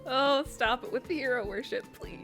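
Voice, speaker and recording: A woman's voice: high-pitched, animated, moderate pace. A woman casually reacting and commenting as she plays a game. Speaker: game streamer. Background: game music.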